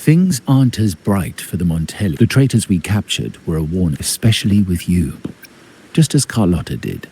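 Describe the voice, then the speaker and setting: A middle-aged mafia patriarch in a game drama, low and mellow. He speaks formally and with calculated authority, his pitch moving expressively, over faint music. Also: sing-song, a little hiss or hum. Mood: formal, calculating. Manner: formal, measured, commanding. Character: imposing, calculating male crime-family boss, formal uncle. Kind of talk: dramatic video game voice acting.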